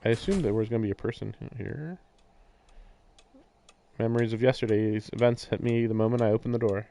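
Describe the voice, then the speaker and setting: A man's voice: medium pitch, fairly quick, vocal fry, dark tone, faint music behind. A man reading a visual novel's narration aloud on a stream, breaking into casual commentary.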